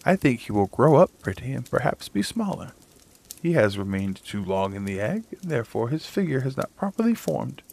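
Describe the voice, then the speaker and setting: A man voicing a fussy, proud mother duck in a storybook reading, with a high, fluttering put-on voice. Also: very animated, moderate pace, creaky, a little mellow. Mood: defensive.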